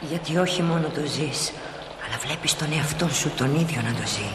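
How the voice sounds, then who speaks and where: An actress playing a dead mother in a stage drama, a mature woman who speaks with patient, measured, gently firm gravity. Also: low-pitched, lively intonation. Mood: grave warning. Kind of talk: solemn stage drama acting. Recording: noisy, echoing old drama recording.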